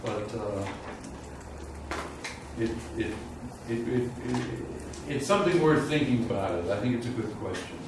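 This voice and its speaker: A man lecturing on physics in an informal classroom style, thinking aloud haltingly and frankly admitting uncertainty. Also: medium pitch, lively intonation. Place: lecture room, mic away from speaker. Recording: roomy echo, distant mic, loud room noise.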